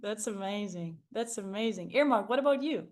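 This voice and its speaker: A woman's voice: medium pitch, expressive, moderate pace, darkish tone. A woman coaching a group on public speaking, enthusiastic and emphatic, often checking in with rhetorical questions. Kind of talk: interactive group coaching talk.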